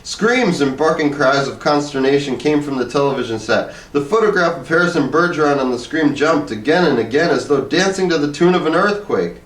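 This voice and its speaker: A man's voice: fairly high pitch, expressive, a little fast, few pauses. A man reading a short story aloud as scripted narration. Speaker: story reader. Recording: echoey room, distant microphone.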